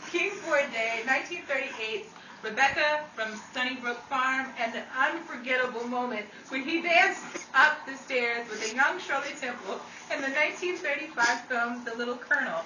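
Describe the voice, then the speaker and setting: A woman's voice: high-pitched, normal speed, bright, forceful tone. A woman narrating a scripted documentary-style radio program. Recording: roomy, distant mic, noisy background.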